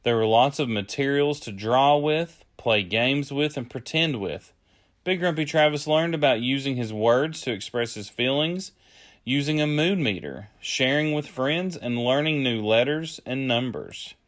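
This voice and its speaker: A man reading a children's story aloud as a storyteller, with deliberate phrasing. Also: medium pitch. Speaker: storyteller, early childhood educator.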